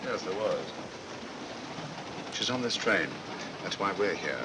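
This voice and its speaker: An actor playing a shrewd, self-assured English gentleman detective in a 1940s film, speaking with crisp, courteous authority. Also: lively intonation, slow. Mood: brisk, confident. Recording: noisy 1940s film soundtrack, train rumble.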